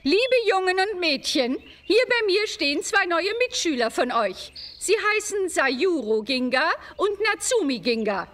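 Anime schoolteacher, friendly and formal, very high, animated voice addressing pupils. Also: female voice, unhurried, hardly any pauses, a little hiss or hum, full character voice. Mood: welcoming. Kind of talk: anime dub acting.

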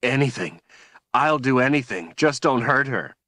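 A man's voice: medium pitch, expressive, moderate pace, sharp tone, no room sound, voice pushed up. A man voicing a desperate young man who pleads tearfully. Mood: pleading.

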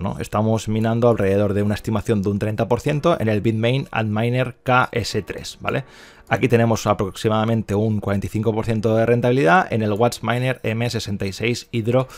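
The low-pitched man from Spain you'd hear on an online investment tutorial, talking you casually through his screen and checking that you follow. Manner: explanatory, repeats himself and checks in with tag questions. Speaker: video presenter promoting crypto mining investments. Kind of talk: casual explanatory screen-share commentary.

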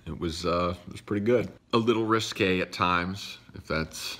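A man's voice: low voice, normal speed, a bit bright. A man in his thirties chatting casually to a phone camera in his living room, joking as he goes. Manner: chatty, jokey asides. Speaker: channel host. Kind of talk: casual vlog chat. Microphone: phone camera at arm's length.

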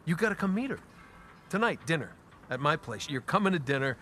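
A bright-voiced, earnest American adventurer. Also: male voice, on the high side, very animated, a little hiss or hum. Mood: excited. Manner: halting, stammering, informal. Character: earnest, stunned adventurer, younger brother, in his thirties. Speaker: video game character. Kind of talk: naturalistic cinematic game acting.

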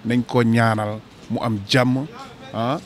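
A man's voice: medium pitch, little pitch movement, slow pace. A man speaking emphatically in a street interview outdoors, into a handheld mic. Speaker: football fan interviewed. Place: snowy city street outdoors.

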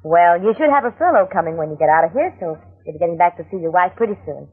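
An actress playing a gentle, attentive military nurse in an old-time radio drama. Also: female voice, lowish voice, expressive, fast, husky. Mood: kindly interested. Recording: muffled, narrow-band old radio recording.

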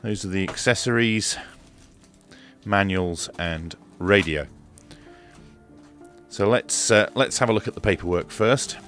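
A man's voice: fairly low pitch, measured pace, long pauses, a bit bright, faint music behind. A middle-aged man presenting an equipment review in a small home studio, speaking into a desk microphone. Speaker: product reviewer and host. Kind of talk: radio equipment review narration. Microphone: close desk microphone.

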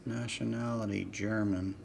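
A man's voice: fairly low pitch, flat delivery, a little slow, gravelly, noisy background. A man casually talking through a live trading card box break, reading out cards as he opens packs. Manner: casual, chatty, reads out cards while opening packs. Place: indoor table set up for card streaming.

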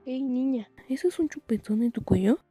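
A woman voicing a blunt, sarcastic older witch and guardian in a fan comic dub, stern and husky. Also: sits high, expressive, brisk, mellow, dry recording, soft background music, exaggerated voice.

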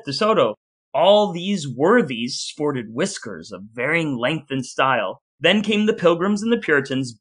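Bright-voiced man telling history on a podcast. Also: fairly high pitch. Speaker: podcast co-host. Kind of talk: informal history storytelling with quoted readings.

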